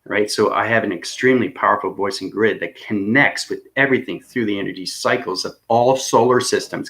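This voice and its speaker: Guy rambling on about cosmic stuff, low voice, repeats himself a lot. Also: fairly bright tone. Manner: rambling, repetitive, laughs at himself. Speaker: video host. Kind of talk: rambling cosmic monologue to viewers.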